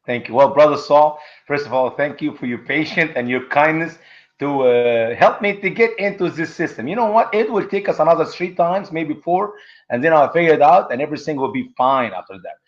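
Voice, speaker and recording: A man from Egypt, a guest on an online talk show, telling stories in an animated, conversational way. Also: a little high, fairly quick, dull audio. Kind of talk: conversational storytelling.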